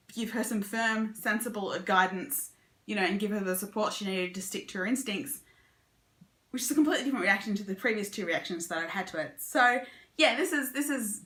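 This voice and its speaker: A woman talks casually as a book vlogger, in a chatty, conversational way. Her voice is bright and forward, on a medium pitch. The recording sounds roomy, with noticeable echo. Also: a little fast. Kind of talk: casual book review chat to camera.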